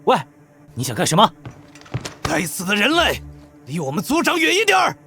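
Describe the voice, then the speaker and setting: A hostile male beast-folk guard from a fantasy cartoon. His high, sharp voice swings wildly in pitch, aggressive and protective. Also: wildly swooping pitch, normal speed, soft background music, heavily acted. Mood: angry, threatening. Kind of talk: animated fantasy drama acting.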